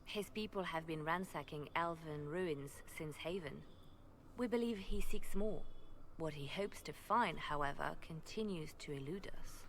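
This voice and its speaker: Very low-voiced, composed war advisor. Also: female voice, slight background noise. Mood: grave, composed. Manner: formal, measured briefing. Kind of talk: video game dialogue.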